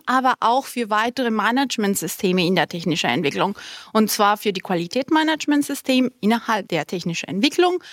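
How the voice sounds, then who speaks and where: A woman's voice: a little high, no echo, clean studio sound. A woman who is a native Spanish speaker, half Colombian and half German, answering conversationally as a podcast guest. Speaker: podcast guest, corporate compliance manager.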